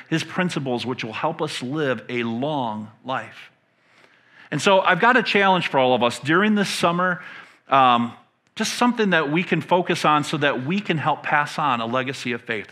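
A middle-aged American man, a pastor giving a conversational sermon, emphatic and pausing to put questions to his listeners. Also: on the high side, sharp tone. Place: indoor stage in a church room.

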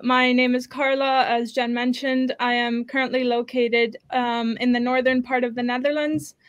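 A woman's voice: a little high, level tone, normal speed, clear, resonant tone, no echo, lo-fi sound. A young woman from Switzerland, a native Swiss German speaker, introducing herself as a panelist on a video call. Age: young adult. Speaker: student panelist. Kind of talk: self-introduction on a live panel. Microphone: computer video call.

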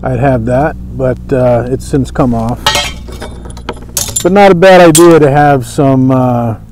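Slow-talking, mellow man. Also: medium pitch, slight background noise. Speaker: bushcraft instructor and presenter. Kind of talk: casual show-and-tell demonstration. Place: outdoors at a table on a lawn by woods.

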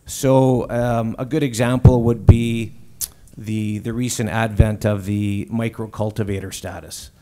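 A man with a low, mellow voice speaks as a business panelist at a table mic. He talks haltingly, restarting phrases with ums. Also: steady tone, faint music behind. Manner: hesitant, many restarts. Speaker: company executive panelist. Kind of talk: panel discussion. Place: conference stage.

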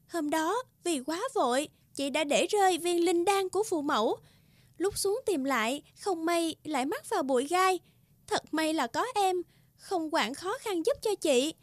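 A woman voicing a gentle, generous young fairy in an animated fairy tale, caring and reassuring like a big sister. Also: very high voice, dry recording.

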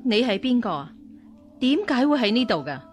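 An actress playing a stern, commanding Buddhist nun and martial arts master in a radio drama, speaking in an imperious, interrogating way. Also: female voice, on the high side, sing-song, moderate pace, soft background music. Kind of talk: Cantonese radio drama acting.

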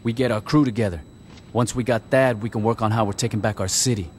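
A man's voice: fairly low pitch, steady tone, fast talker, in a large hall, slight background noise, lightly acted. A man voicing a tough, blunt, commanding street gang boss in a video game, with terse, profane street talk. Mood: dry, resolute. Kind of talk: video game cutscene acting.

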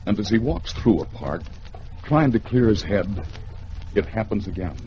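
An American man narrates a radio thriller with theatrical suspense and lively swings in pitch. His voice is fairly low and his phrasing measured. It sounds like a dull, slightly noisy 1950s broadcast. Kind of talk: dramatic 1950s radio storytelling.

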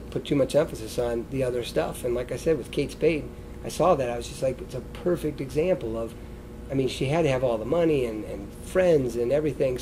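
A middle-aged American man gives an informal health talk, sounding mellow and a bit stuffed up with congestion. He speaks conversationally and wanders loosely between points. There is a faint rumbling noise behind him. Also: rough recording. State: congested, sniffly. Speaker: chiropractor giving a wellness talk. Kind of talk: informal seated lecture. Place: indoor stage beside a wooden lectern, seated.

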